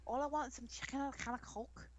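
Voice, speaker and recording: A woman's voice: high voice, fairly even intonation, fast talker, noisy background. A young English woman with a Midlands accent, chatting casually and giggling while running a quiz. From England. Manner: giggly, chatty, rambling explanations. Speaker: quiz co-host.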